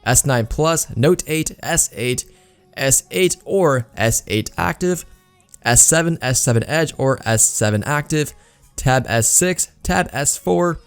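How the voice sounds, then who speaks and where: A man's voice: medium pitch, clear, resonant tone. A man hosting a gaming news video, explaining updates in YouTube commentary style with repeated emphasis words. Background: background music.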